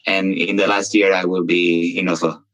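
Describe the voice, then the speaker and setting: A man with a very low voice joins a podcast conversation remotely, eager and friendly. He talks in halting bursts and often repeats words as he gathers his thoughts. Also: little pitch movement, a bit bright, rough recording. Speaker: researcher, podcast guest. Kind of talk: casual chat. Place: remote, not in the studio.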